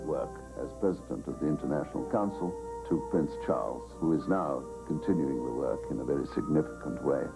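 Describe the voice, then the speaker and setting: A man recalling events in a measured, formal documentary interview. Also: extremely low pitch, creaky. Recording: documentary with music underneath.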